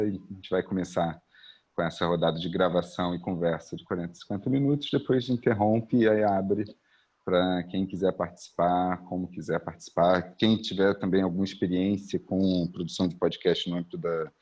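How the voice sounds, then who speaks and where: A Brazilian man, a philosophy professor, opening an online panel in a halting, casual way on a video call. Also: sits low, a little mellow. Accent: Brazilian Portuguese. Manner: halting, restarts phrases, many "né" and "ah" fillers.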